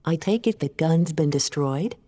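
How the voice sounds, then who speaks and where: A woman playing a curious, blunt female artificial intelligence in an audio drama, asking short, flat questions. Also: low-pitched, moderate pace, long reverb tail. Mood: curious, unimpressed. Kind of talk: scripted audio drama dialogue.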